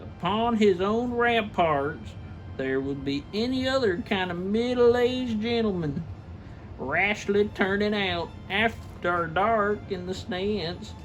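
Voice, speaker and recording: A man's voice: high voice, slow, soft background music, poor audio. A man reading a classic story aloud theatrically and emphatically, stumbling over the text and dropping in casual asides. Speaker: reader, show host.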